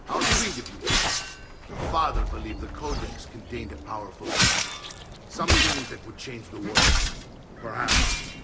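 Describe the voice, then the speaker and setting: A man's voice: medium pitch, normal speed, very noisy, lightly acted. An actor playing a stern, fatherly, middle-aged Italian uncle and mercenary mentor in a video game, speaking emphatically with an Italian accent and sprinkling in Italian phrases. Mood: teaching, grave. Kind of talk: dramatic video game voice acting.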